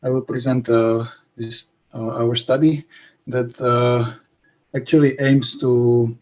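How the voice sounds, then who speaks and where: A man from Slovakia, giving a scientific presentation over a video call, with many pauses and fillers. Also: medium pitch, flat delivery, a little mellow. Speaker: conference presenter. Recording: muffled video call audio.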